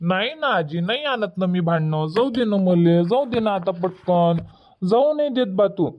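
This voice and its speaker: Cartoon village boy, played by a man: high, whiny, stubborn, Varhadi Marathi accent, muffled lo-fi recording. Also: expressive, normal speed. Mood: pleading, impatient. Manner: whiny, argumentative. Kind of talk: cartoon comedy dialogue. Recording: dull, muffled lo-fi audio.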